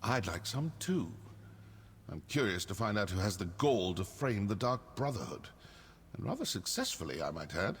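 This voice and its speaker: Sly low-voiced assassin. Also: male voice, very animated, normal speed. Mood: wry, intrigued. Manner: theatrical, dry, condescending. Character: sly, sardonic male assassin of a secret guild. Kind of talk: scripted fantasy game acting. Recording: long reverb, faint noise.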